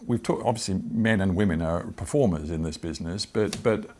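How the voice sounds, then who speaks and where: An older man with a British accent conducting a broadcast interview, asking measured, deliberate and probing questions. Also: sits low, darkish tone. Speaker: television interviewer.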